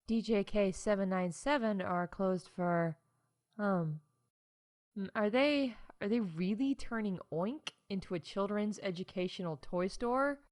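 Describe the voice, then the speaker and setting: A woman plays a snarky female announcer at a space theme park in a comedic audio drama. She has a medium-pitched voice and a chatty delivery. Scripted updates keep sliding into candid, sarcastic asides. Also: natural voice. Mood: wry, distracted. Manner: wry, sarcastic, breaking off into asides.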